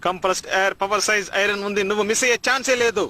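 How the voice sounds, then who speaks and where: A man's voice: high-pitched, rapid-fire, very noisy, close to an everyday voice. A man voicing a boastful, pedantic, gadget-loving dad in a dubbed cartoon. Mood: coaching.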